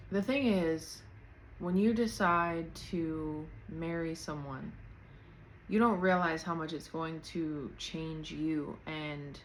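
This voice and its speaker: Woman, 30, podcast host, unscripted candid talk, light laughs, slightly low voice, camera's built-in mic, faint background hiss. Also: poor audio. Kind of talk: unscripted casual personal talk. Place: at home, in pajamas. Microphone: camera's built-in mic, external mic unplugged.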